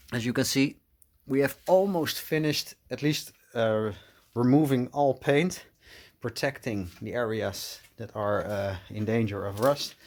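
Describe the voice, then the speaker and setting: A middle-aged Dutch man with a Dutch accent, speaking English with many pauses and fillers as he shows his car project in a garage. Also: medium pitch. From Netherlands. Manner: hesitant, many uhs, apologetic. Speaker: car restoration vlogger. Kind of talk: casual walkaround vlog.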